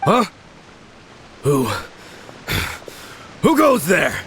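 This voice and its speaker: A man's voice: high-pitched, big pitch swings, very slow, fairly bright tone, slight background noise, full character voice. A man voicing a boisterous, theatrically mad swordsman obsessed with manliness in an anime game, with a bombastic, gloating delivery. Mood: startled challenge.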